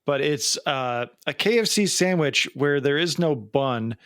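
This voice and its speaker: A man's voice: fairly high pitch, expressive, a little slow, a bit bright, clear, resonant tone, no room sound, clean studio sound. A man in his late thirties with an American Midwestern accent, co-hosting a podcast in casual chat with sardonic jokes. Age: late 30s. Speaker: podcast co-host.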